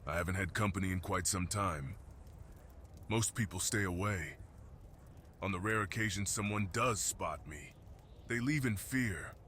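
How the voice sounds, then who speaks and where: Casting: male actor as gentle, lonely mountain guardian beast for ASMR fantasy roleplay; very low register, solemn and reassuring, some background ambience. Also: unhurried, long pauses, a little hiss or hum. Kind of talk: ASMR fantasy roleplay, slow intimate monologue.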